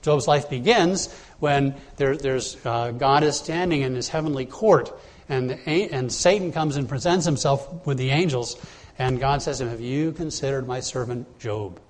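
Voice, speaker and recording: A man's voice: mid-range voice, normal speed. A man preaching an expository sermon, explaining scripture verse by verse in a plain teaching tone with frequent "uh"s and restarts. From Bahamas.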